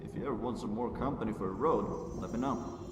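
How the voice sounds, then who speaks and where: A man's voice: on the low side, fast, noisy background, lightly acted. A man playing a wry, friendly vampire adventuring companion in a fantasy game, chatty and conversational. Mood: grateful, relaxed. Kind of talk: fantasy game character acting. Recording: echoey, distant, music behind voice.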